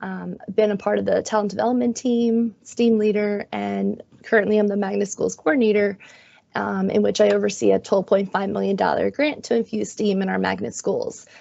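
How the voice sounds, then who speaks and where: A middle-aged woman with a raspy, hoarse voice from being sick, presenting in a friendly, self-deprecating way on a video call. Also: mid-range voice, fairly even intonation, normal speed, dark tone, dry recording. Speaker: guest presenter, magnet schools coordinator. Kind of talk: webinar presentation. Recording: dull, dry video-call audio.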